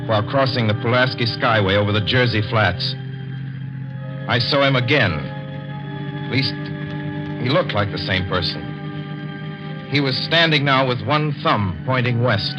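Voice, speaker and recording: An actor voices a young man driving alone across the country, telling his story in a brooding, uneasy way. His voice is fairly low. The recording is muffled and lo-fi, with clear music under it. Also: natural voice. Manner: tense, brooding narration. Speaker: narrator and lead. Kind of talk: 1940s radio drama narration. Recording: dull lo-fi 1940s radio recording with music.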